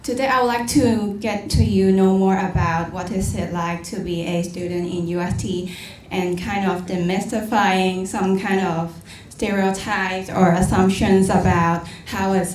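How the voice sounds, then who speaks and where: Young Hong Kong woman speaking in a hall. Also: medium pitch. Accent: Hong Kong English. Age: early 20s. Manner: friendly, speaks directly to the audience. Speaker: student speaker. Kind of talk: prepared talk to an audience. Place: lecture hall. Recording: roomy hall, distant mic, faint hum.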